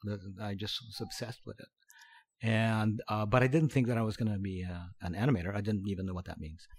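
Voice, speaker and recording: A middle-aged man from the Philippines, a podcast guest recounting his past in a relaxed, halting way with many fillers and restarts. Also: lowish voice, breathy edge. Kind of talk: conversational storytelling.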